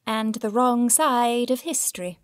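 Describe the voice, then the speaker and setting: A woman's voice: on the high side, unhurried, dry recording, clean studio sound, close to an everyday voice. An actress playing a narrator in an audio drama, a woman who prods and challenges with pointed interjections. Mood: challenging.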